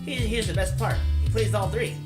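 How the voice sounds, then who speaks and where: Man reading as young male eSports player, easygoing, brisk coaching manner, roomy voice, loud music underneath. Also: high voice, close to an everyday voice. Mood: explaining.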